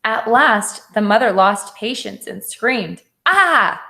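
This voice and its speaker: A woman's voice: medium pitch, slow pace, vocal fry, fairly bright tone. A woman teacher giving a read-aloud lesson for children, explaining things simply and instructively. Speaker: elementary school teacher.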